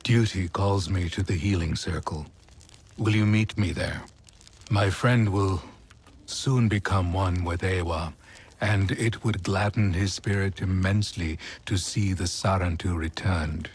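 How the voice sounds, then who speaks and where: A grave alien healer from a video game, very low and husky. He speaks with formal, reverent solemnity. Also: unhurried, a little mellow. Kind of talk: video game character acting.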